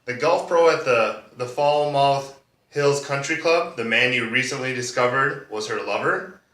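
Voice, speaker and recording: A man's voice: medium pitch, a little slow, bright, forceful tone. A man playing a sardonic, accusing district attorney who questions a witness pointedly in a courtroom monologue. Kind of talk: monologue performance of a courtroom drama. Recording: roomy echo, distant microphone.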